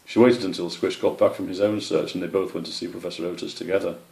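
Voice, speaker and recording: A man reading a children's story aloud in an expressive narrating voice. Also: sits low, quick pace, gravelly. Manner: expressive, with character voices for animals. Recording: roomy, echoey sound.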